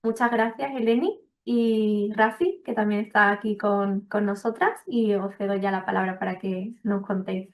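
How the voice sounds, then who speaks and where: A woman's voice: medium pitch, steady tone, normal speed, hardly any pauses. Hesitant woman moderating an online event.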